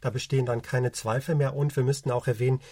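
A man in his forties co-hosting a casual podcast, explaining calmly with many hesitations. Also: mid-range voice, quick pace, no room sound. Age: 40s. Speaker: podcast co-host.

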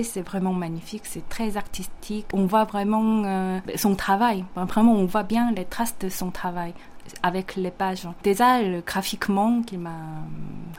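Young Korean-accented woman, comic artist guest, halting careful answers with frequent pauses. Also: mid-range voice, darkish tone, a little hiss or hum. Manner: hesitant, frequent fillers, careful non-native phrasing. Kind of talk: interview answers.

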